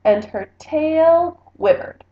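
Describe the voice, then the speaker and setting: A woman reading a children's storybook aloud in an expressive narrating style. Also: high voice, takes it slowly. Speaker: book reader. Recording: echoey room, slightly muffled sound.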